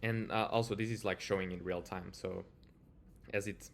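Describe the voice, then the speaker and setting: A man's voice: low voice, steady tone, moderate pace, vocal fry, a little hiss or hum. A man with a Portuguese accent giving a casual screen-share tutorial, speaking informally and hesitantly with lots of filler words. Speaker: software developer presenting a tutorial.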